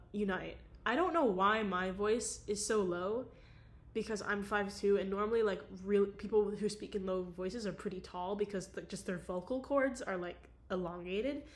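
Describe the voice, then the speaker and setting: A woman's voice: mid-range voice, unhurried. A young woman reading a novel aloud, with casual, chatty asides in between. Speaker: audiobook reader.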